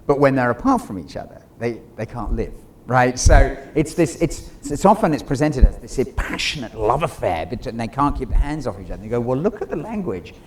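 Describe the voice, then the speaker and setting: A man's voice: mid-range voice, sing-song, fairly quick, few pauses. A middle-aged man speaking as a theater director on an informal panel, digressive and acting out imagined quotes. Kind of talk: animated informal panel discussion. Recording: noisy live room ambience.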